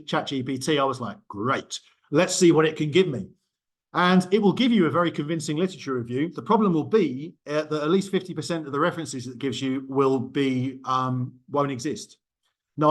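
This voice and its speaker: A man's voice: a little high, a bit bright. Chatty lecturer man, lots of ums. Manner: conversational with frequent ums and self-corrections. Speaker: university lecturer. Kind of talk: small group discussion talk.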